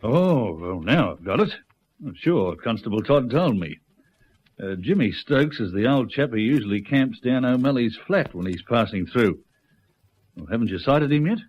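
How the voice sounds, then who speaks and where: A man playing a brisk, unflappable Australian country police sergeant in a radio drama, businesslike and reassuring. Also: medium pitch, lively intonation, husky. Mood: calm, skeptical. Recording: muffled old radio recording.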